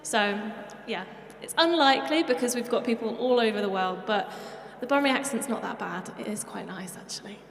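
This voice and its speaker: A woman's voice: medium pitch, fairly quick, creaky, few pauses. A woman hosting a panel, chatty and upbeat as she reads questions. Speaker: event host and moderator. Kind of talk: casual moderating. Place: large hall on stage. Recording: echoey hall, faint noise.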